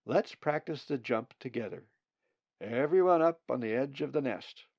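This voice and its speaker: A man's voice: mid-range voice, expressive, normal speed, darkish tone, no echo, lo-fi sound, pitched above the performer's own voice. A man voicing a kindly male raven who patiently teaches and encourages the young in a storybook. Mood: encouraging. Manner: clear instructing, encouraging.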